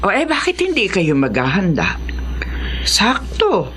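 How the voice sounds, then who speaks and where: A woman's voice: mid-range voice, huge pitch swings, measured pace, fairly bright tone, slight background noise. A woman playing a blunt, stern and proud wealthy employer with a Filipino accent in a radio drama. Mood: curt, curious.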